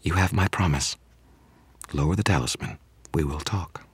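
A man's voice: rumbling low, normal speed, slightly rough, dry recording, full character voice. A man voicing a monstrous yet sultry female vampire who snarls and hisses in a half-whisper. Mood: grudging.

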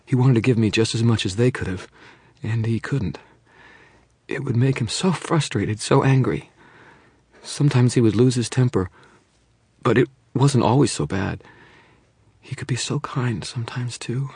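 A man voicing a frightened young mother who pleads and confesses in a rush, then drops to a hush. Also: medium pitch, slightly rough, no echo, pitched above the performer's own voice. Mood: fearful confession. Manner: breathless pleading, rushing then hushed.

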